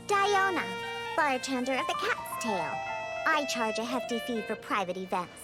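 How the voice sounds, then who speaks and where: A woman playing a bright cat-eared girl bartender. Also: fairly high pitch, animated, normal speed, natural voice. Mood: proud. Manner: self-assured introduction. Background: game soundtrack music.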